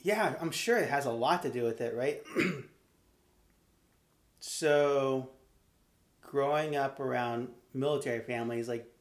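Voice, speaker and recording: A man being interviewed, answering with pauses, fillers and laughs, who grew up in Nevada. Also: medium pitch, echoey room. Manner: hesitant, laughing, lots of fillers. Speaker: interview guest. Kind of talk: casual conversation.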